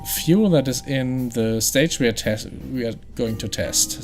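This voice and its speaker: Brief: gaming host, German accent, chatty, thinking aloud, average pitch. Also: male voice, a little mellow. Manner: explanatory, chatty, thinks aloud with fillers and restarts. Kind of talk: game playthrough commentary.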